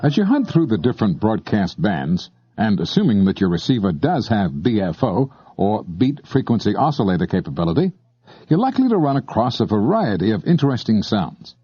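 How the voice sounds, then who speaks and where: A man narrates an instructional tape with lively, varied intonation and a mellow, relaxed tone. He talks directly to the listener in a conversational way, sounding slightly husky on a dry, somewhat dull tape recording. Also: on the low side, brisk. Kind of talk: scripted explanatory narration.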